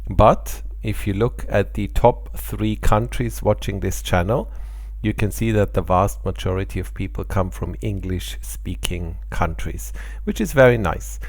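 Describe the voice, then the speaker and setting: A man's voice: on the low side, measured pace, no echo. A middle-aged man from Austria, a native German speaker with an Austrian German accent, explaining his channel statistics in a friendly, casual way. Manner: friendly, explanatory, occasional small laughs. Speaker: airline pilot and channel host. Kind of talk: casual talk to camera about channel statistics.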